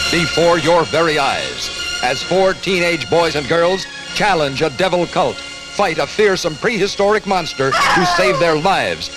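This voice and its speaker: A man's voice: a little high, poor audio. A man narrating a vintage horror film trailer in a dramatic, scripted announcer style. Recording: old trailer audio under loud music.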